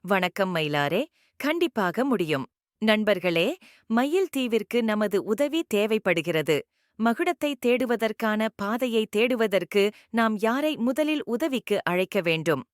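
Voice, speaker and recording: A woman plays an eager young girl adventurer in a children's cartoon. Her voice is mid-pitched and recorded dry, politely inviting young viewers to join in. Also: big pitch swings, slow pace, no echo. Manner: clear instruction, addressing viewers as friends.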